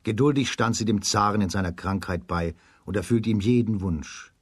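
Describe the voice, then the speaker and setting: A man narrates a children's fairy tale from a script. His voice is low and husky, recorded very dry and close. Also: normal speed, no room sound.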